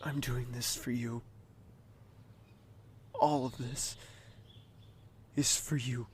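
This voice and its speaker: An actor playing a young crown prince haunted by grief, speaking in a brooding, halting, dramatic way. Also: male voice, medium pitch, fairly even intonation, a little hiss or hum. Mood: brooding, sorrowful. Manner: brooding, anguished, halting delivery. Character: grieving young crown prince haunted by his sister's death. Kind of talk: dramatic audio drama monologue.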